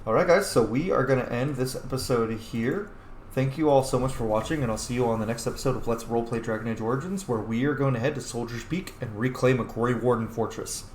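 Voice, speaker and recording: Male gaming host, mid-pitched, informal and thoughtful, loose with swearing; roomy recording with slight background noise. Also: fast, a little mellow. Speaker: let's play host. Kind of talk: casual roleplay game commentary.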